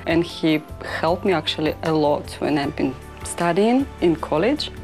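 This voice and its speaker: A young woman with a Ukrainian accent talks about her design work in halting English. Her voice sits low with a gravelly fry. Clear music plays beneath her. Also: lively intonation, darkish tone. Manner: halting non-native English with many "like" fillers. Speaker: fashion designer. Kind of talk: interview talk.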